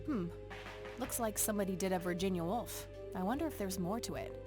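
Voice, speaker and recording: A dry, sardonic young woman detective, voiced by an actress for an adventure game, speaking at a middling pitch. Her lines come with a score playing clearly underneath. She sounds blunt and unimpressed. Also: a little fast, natural voice. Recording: game audio with music behind.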